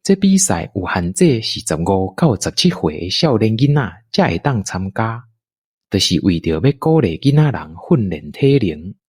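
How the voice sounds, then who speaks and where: Man, Taiwanese accent, audio drama narrator, husky edge, dry close sound, expressive delivery. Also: on the low side, no room sound. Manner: unhurried, expressive storytelling delivery. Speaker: storyteller, narrator.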